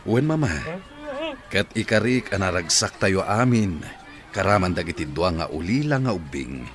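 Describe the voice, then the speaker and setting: A man as a deep-voiced earnest suitor. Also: expressive, normal speed. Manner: earnest and persuasive. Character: earnest grown man courting a woman. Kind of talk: radio drama acting.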